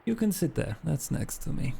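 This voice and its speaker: A man voicing a sardonic, smug vampire interrogator in a tabletop game, with courteous, deliberate phrasing. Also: a little high, very animated, gravelly, mellow, husky, pitched above the performer's own voice, full character voice. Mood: coolly menacing. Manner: deliberate, polite threats.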